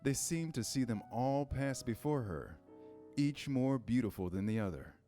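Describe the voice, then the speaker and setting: A man's voice: medium pitch, lively intonation, normal speed, mellow. Man narrating a children's storybook over music. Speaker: narrator in a church reader's theater.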